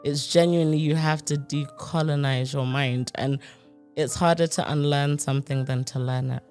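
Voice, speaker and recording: A woman's voice: sits low, fairly even intonation, moderate pace, darkish tone, soft background music. A woman from the UK with a British accent, talking frankly and conversationally on a podcast, with plenty of casual fillers. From United Kingdom.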